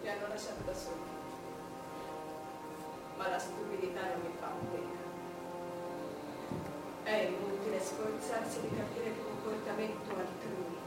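A woman's voice: medium pitch, fairly even intonation, measured pace, long pauses, natural voice. An actress playing an ageing, proud, paranoid and imperious queen in a theatrical stage monologue, with dramatic declamation. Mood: lonely, bitter. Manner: dramatic declamation, swinging from hushed brooding to loud outbursts. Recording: echoey stage, distant mic, loud music behind.

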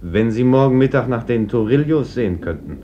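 A man's voice: mid-range voice, animated, a little fast, warm tone, close to an everyday voice. A man playing a courteous, earnest young village priest in a German radio play, polite and formal. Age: young adult. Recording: dull, slightly noisy old radio recording.

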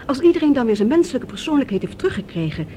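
An actress playing a distrustful, stubborn doctor who starts hesitantly and argues insistently, in a science-fiction radio drama. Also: female voice, sits high, big pitch swings, rapid-fire, dark tone. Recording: noisy old radio drama recording.

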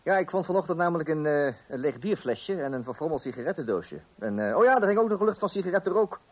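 An actor playing a fussy, self-assured middle-aged husband in a Dutch radio play, with a Netherlands accent and hesitant, repetitive phrasing. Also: male voice, fairly high pitch, sing-song, fast talker, breathy edge. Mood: hesitant, polite. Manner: self-assured, hesitant little ehs and repeated words. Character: fussy, indignant middle-class husband guarding his property.

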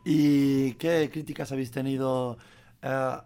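A man's voice: medium pitch, level tone, slow pace, dark tone. A man hosting a radio interview, asking questions in a hesitant, stumbling way full of fillers.

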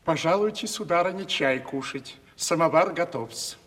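A deferential, high-voiced manservant. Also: male voice, expressive, normal speed, fairly bright tone. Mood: respectful, hesitant. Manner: obsequious, hesitant servant speech. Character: deferential, fussy old-fashioned manservant of a country house. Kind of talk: theatrical 1970s Russian radio play acting. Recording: reverberant archival radio drama recording.

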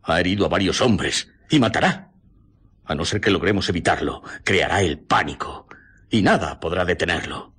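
An actor playing an anxious, agitated doctor in a Spanish radio drama, urgent, theatrical and sometimes stammering. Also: male voice, low-pitched, lively intonation, normal speed, fairly bright tone, husky, exaggerated voice. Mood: shaken, grave.

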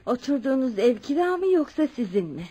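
A husky, kindly older lady. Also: sits high, very animated, fairly quick, mellow, full character voice. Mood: kindly curious. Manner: motherly, addresses others as her children. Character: kindly, insistent older well-off lady living alone. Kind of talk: Turkish radio drama acting.

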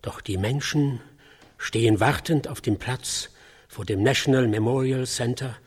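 Husky, solemn field reporter. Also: male voice, mid-range voice. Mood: hushed, solemn. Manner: halting, solemn, pausing between phrases. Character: solemn American field reporter with a hushed sense of drama. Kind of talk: acted on-location news report in a radio drama.

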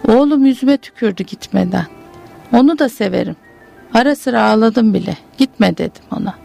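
A woman reads the part of a bitter old widow, her voice thick with gravel and fry. She broods grimly over her house and children, with faint music playing underneath. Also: on the high side, animated, moderate pace, mellow, exaggerated voice. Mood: bitter brooding. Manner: grim, brooding monologue. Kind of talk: literary reading.

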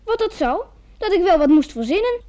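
A cheeky little girl speaks in a very high, childlike voice. Her delivery is pert and imaginative, in radio comedy style. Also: big pitch swings, brisk, dull audio, over loud music.

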